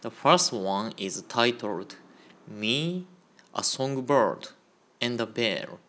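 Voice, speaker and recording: A man giving a Buddhist Dharma talk on a radio program, in a slow, measured and careful delivery. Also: medium pitch, animated, pushed and bright. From Japan. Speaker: Buddhist minister.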